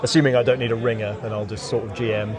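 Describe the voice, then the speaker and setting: A man's voice: fairly low pitch, flat delivery, rapid-fire. Chatty man outdoors amid crowd chatter. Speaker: interview guest, game designer. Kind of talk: casual chat. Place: outdoors in a courtyard at a gaming event. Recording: outdoor event courtyard with background chatter.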